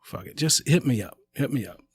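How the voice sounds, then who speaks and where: A man's voice: medium pitch, lively intonation, fairly quick, creaky, husky. A man on a comedy podcast talking in an encouraging, emphatic way, often repeating his own phrases. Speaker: comedian guest. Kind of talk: casual podcast chat.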